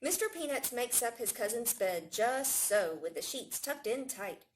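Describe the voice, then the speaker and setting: A woman reading a picture book aloud to young children in an expressive storytelling manner. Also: fairly high pitch, unhurried, a little hiss or hum. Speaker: library staff storytime reader.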